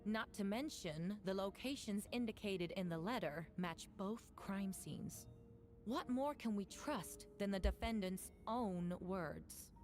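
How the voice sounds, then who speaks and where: A woman playing a self-assured prosecutor in a video game, formal and deliberate as she makes a case. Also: mid-range voice, darkish tone, soft background music, natural voice. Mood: coolly certain.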